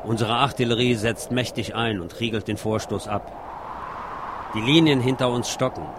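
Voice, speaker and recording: A man's voice: mid-range voice, fairly even intonation, normal speed, noisy background. A man giving a literary reading from a war novel. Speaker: reader.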